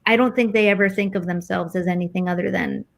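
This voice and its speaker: A woman's voice: medium pitch, fairly even intonation, quick pace, gravelly. American woman thinking aloud, casual and hesitant. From United States. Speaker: interview guest. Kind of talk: casual reflective conversation.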